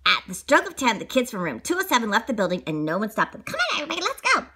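A woman reading a children's picture book aloud in a theatrical, animated way, adding little asides and repetitions. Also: mid-range voice, quick pace, breathy edge.

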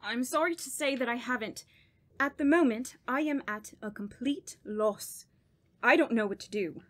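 A woman acting a commanding female scholar of evil spirits in a radio horror play. Her voice sits fairly high, and she speaks with solemn, deliberate urgency. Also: lightly acted. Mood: worried, grave. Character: grave, commanding woman doctor who studies evil spirits.

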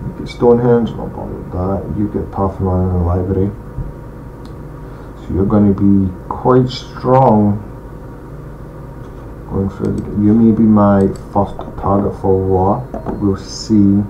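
A man's voice: on the low side, measured pace. A man with an accent, casually thinking aloud as he plays a strategy game and comments on his moves. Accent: regional accent he says some may not follow. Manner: relaxed, thinking aloud, mumbled asides. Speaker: gaming channel host. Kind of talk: let's play commentary. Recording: dull lo-fi home mic with loud hiss.

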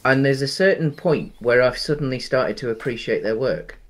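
A man's voice: mid-range voice, lively intonation, moderate pace. A man in his thirties co-hosting a casual film chat over a headset on a video call from home, rambling with many restarts and fillers. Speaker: podcast co-host.

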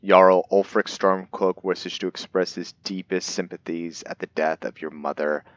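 A man's voice: deep voice, fairly even intonation, normal speed, dry recording, muffled recording. A man hosting a gaming roleplay video, narrating his playthrough in a casual commentary style.

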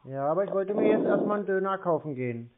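A man's voice: high-pitched, animated, quick pace, noisy background. A man chatting on the phone in a sarcastic, needling way. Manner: sardonic, needling. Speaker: scambaiter taking scam calls. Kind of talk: sarcastic prank phone chat. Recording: tinny narrow phone line.

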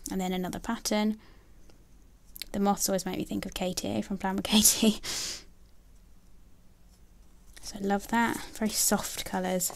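A young woman chatting casually about planner stickers, soft and gushing, with many ums and likes. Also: medium pitch, vocal fry. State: has a bad cold, nasal and throaty. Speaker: planner sticker haul vlogger. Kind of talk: casual haul chat. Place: indoors at a table, filming her hands.

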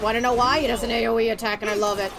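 A woman streaming a video game, with excitable, theatrical, ranting live commentary. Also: a little high, very fast, gravelly, noisy background. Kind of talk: live gameplay commentary and chat. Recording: loud game music behind. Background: video game music and effects.

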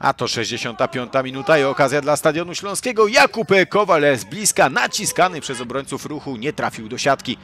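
A man's voice: medium pitch, brisk, hardly any pauses. A man reading a scripted voice-over for a youth football match report, lifting his voice at goals and chances. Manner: lively commentary, rising at goals and chances.

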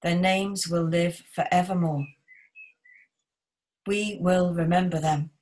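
A middle-aged woman vicar reading formal liturgy in a solemn, measured way. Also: lowish voice, steady tone, a little mellow, rough recording. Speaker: vicar leading worship.

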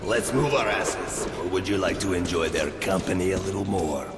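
A man playing a gruff, cynical survivor guide in a video game, speaking in a blunt, coarse way. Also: low voice, moderate pace, natural voice. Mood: irritated. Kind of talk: video game character acting. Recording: music over the speech.

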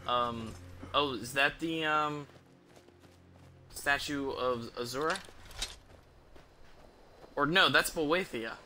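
A young man giving casual, halting gameplay commentary, muttering to himself as he plays. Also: medium pitch, pushed and bright. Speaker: game streamer. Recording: clear background noise, game ambience.

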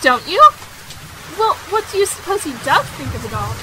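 Woman playing outspoken young girl, high voice, candid and theatrical, period radio play, faint noise behind. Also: sing-song, moderate pace. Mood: pleading, bitter. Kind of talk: period romantic audio drama acting.

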